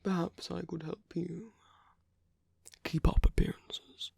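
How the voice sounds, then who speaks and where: A suave masquerade villain, acted out by a performer for a romantic ASMR roleplay, speaks in a husky, breathy near-whisper. The delivery is slow and teasing, with lingering pauses and insinuation. It is recorded very close and dry. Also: medium pitch, flat delivery, darkish tone, no room sound, heavily acted. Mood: sly, coaxing. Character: suave flirtatious villain at a royal masquerade ball. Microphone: close dry microphone.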